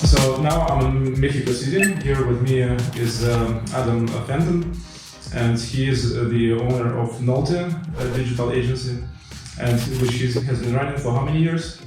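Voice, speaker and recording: A man hosting an interview, putting questions to his guest with halting hesitations and ums. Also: mid-range voice. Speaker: interviewer, host. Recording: roomy, from some distance, music behind.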